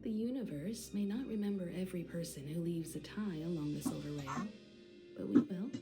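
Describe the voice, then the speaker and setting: A woman voicing a wise, gentle mentor in a video game, speaking in a composed, philosophical manner. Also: lowish voice, a little fast, lightly acted. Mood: solemn, reflective. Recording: game audio under music.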